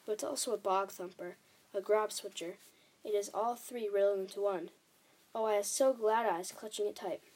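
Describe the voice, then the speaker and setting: A woman voicing an excitable, kindly male giant in a children's storybook, emphatic and exclamatory, with quaint muddled grammar. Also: mid-range voice, normal speed, natural voice. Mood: indignant, vehement.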